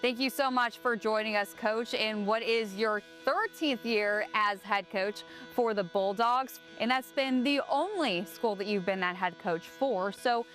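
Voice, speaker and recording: A woman interviewer putting scripted questions to a guest in a polite, measured way. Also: fairly high pitch, a bit bright, soft background music.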